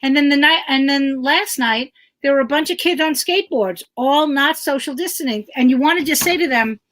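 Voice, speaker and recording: A middle-aged woman comedian with a loud voice, ranting emphatically and swearing freely in casual chat. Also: high-pitched, a little fast. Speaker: comedian guest.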